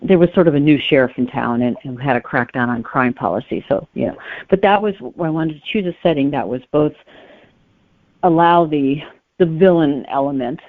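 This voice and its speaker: A woman's voice: sits low, normal speed, no room sound. A woman on a muffled, phone-like connection, talking as a guest author in an interview. The sound is narrow and close, with little room to it. The delivery is conversational and explanatory, with careful qualifications. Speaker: guest novelist.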